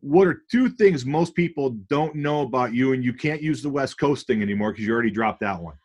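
A man's voice: medium pitch, a little fast, husky. An upbeat man hosts a school podcast with a mid-range voice. He greets his guest with energy and asks his questions in a loose, rambling way. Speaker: school podcast host, former principal. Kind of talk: casual podcast interview.

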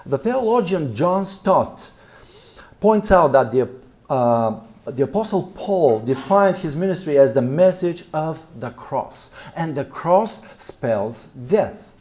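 A man in his fifties from Bulgaria, with a Bulgarian accent, giving a seminar talk as a missionary, pausing often on fillers and repeating words. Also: a little high, animated, darkish tone. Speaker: missionary and church planter speaking at a seminar. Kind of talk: seminar presentation. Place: seminar room with an audience.

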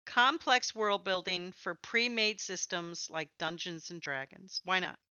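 A woman's voice: lowish voice, a little slow, bright, forceful tone, clear, resonant tone, no echo, lo-fi sound. A woman hosting a conversational interview about tabletop gaming. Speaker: interviewer, podcast host.

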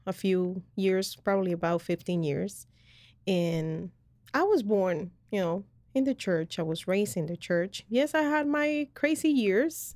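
A woman's voice: medium pitch, darkish tone, no echo. A woman from Colombia, hosting a podcast and sharing her faith story in a rambling, earnest way with lots of fillers. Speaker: podcast host. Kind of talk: personal faith testimony in casual chat.

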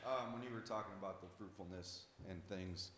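A man with a very deep voice asks a question slowly and hesitantly, searching for his words. The long reverb of a hall surrounds him. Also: fairly bright tone, slight background noise. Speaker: group member asking a question.

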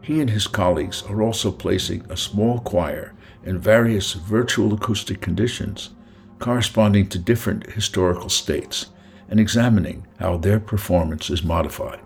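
A man with a low, gravelly voice hosts an interview podcast. He speaks as a presenter, with noticeable fry in his tone, over faint music. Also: moderate pace, darkish tone. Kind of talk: scripted introduction, then unhurried interview talk.

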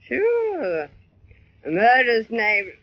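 Nervous brassy dame, hard-boiled, voice jumps all over, muffled old radio. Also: female voice, fairly high pitch, huge pitch swings, slow, no echo, exaggerated voice. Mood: shaky. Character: frightened, hard-boiled, slangy female drinker marked for murder. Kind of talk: 1940s radio drama acting. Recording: muffled vintage radio recording.

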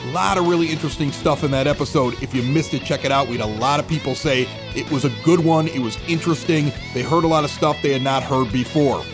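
A man's voice: medium pitch, quick pace, music in the background. A man hosting a local podcast in a chatty, conversational style. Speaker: podcast host. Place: home basement bar studio.

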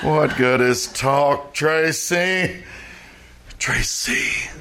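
Man playing a slow, drunken smitten romantic. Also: fairly high pitch, pushed and bright, slight background noise, exaggerated voice. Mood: tender, pleading. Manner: halting, stumbling, drunken. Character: drunk, smitten young male writer, poetic and rambling. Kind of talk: 1940s radio romantic comedy acting.

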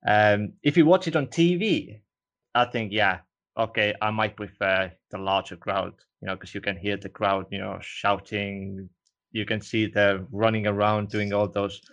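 A man from Estonia, whose first language is Estonian, hosting an interview in a relaxed way, with frequent "you know". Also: lowish voice, vocal fry. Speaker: interview host. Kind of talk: casual interview.

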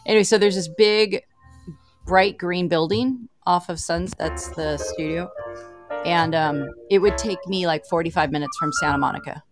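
Music sits behind a woman with a low voice. She is from Southern California and talks as a show guest in a loose, casual, conversational way. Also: moderate pace. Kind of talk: casual chat.

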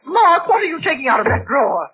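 A doubting, fearful wife, pleading with wide pitch swings, for a muffled vintage radio thriller. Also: female voice, sing-song, fast talker. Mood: frightened. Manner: hesitant, pleading. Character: frightened, apologetic wife and mother, torn by doubt. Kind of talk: 1940s radio mystery acting. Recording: dull, hissy old radio recording.

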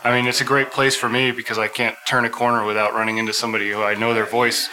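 A man's voice: mid-range voice, level tone, fast, background noise. A man hosting a podcast panel, chatting informally and with self-deprecation in an outdoor hotel courtyard. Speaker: podcast host. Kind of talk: casual panel chat.

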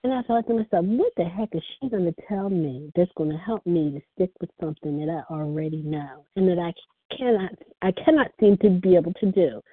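A soft-spoken woman telling her personal recovery story as the speaker at a phone meeting. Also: on the low side, fairly quick, breathy edge, dry recording. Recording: phone line.

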